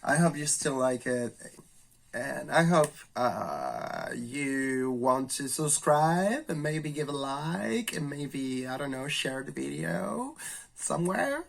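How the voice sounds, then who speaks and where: A man with a raspy, flu-hoarse voice hosts a candid, thoughtful educational monologue. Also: a little high, sing-song. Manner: thoughtful, candid, slow and searching. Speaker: video host.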